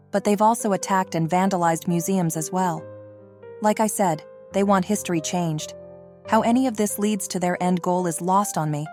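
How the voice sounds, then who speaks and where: A woman playing a remorseful, loving mother and secret agent, speaking a measured confession in an audio drama. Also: on the low side, a little mellow, natural voice.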